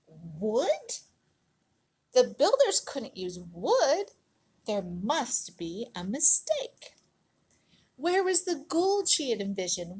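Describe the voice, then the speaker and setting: Animated, high-pitched woman reading a storybook. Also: slightly rough, rough recording. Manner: dramatic, emphatic storytelling with drawn-out words. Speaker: storybook reader. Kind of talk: expressive read-aloud of a children's picture book.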